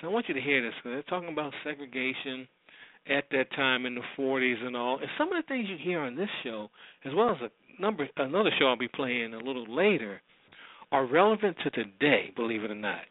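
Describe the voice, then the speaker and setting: A man's voice: mid-range voice, animated, fairly quick. An American man hosting a radio show, introducing old programs in a casual, rambling, conversational way. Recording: roomy, phone-like narrow sound.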